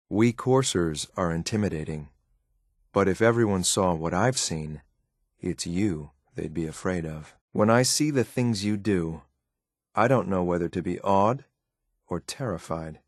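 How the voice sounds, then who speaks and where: Cool, lethal android soldier for a video game: low voice, clipped military deadpan, very dry close recording. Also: male voice, dry recording. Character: stern, loyal synthetic courser soldier, cool and lethal. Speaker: combat companion in a video game. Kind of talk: scripted video game voice lines.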